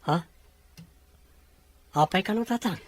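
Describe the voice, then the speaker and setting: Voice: medium pitch, slow pace, no echo. An actor playing a concerned, respectful young son in an Ilocano audio drama, full of questions. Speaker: voice actor in a radio-style drama. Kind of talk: Ilocano radio drama acting.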